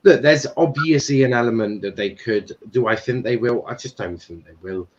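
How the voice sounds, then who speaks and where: A man's voice: mid-range voice, normal speed, a little hiss or hum. A young man hosting a casual football commentary stream, rambling with fillers and swearing. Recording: dull lo-fi stream audio.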